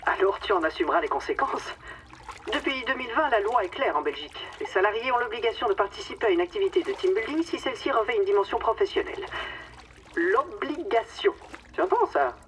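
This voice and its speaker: A woman's voice: medium pitch, very animated, brisk, natural voice. An actress playing a falsely affectionate, condescending woman boss in a darkly comic radio drama, with a theatrical, mockingly sweet manner. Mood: mocking menace. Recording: distant microphone, clear watery background noise.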